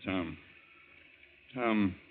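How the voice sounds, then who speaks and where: An actor playing a stern, brusque older country doctor in an old-time radio drama. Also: male voice, sits low, extremely slow, a little hiss or hum. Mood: businesslike. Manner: brusque, commanding. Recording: muffled old radio recording.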